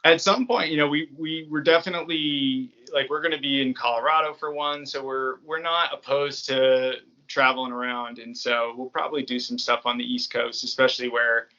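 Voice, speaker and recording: A man's voice: mid-range voice, fairly even intonation, normal speed, few pauses, bright, forceful tone, muffled recording. An American man from New England chatting casually as an interview guest, in an easygoing, rambling way. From New England, United States. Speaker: podcast creator, interview guest.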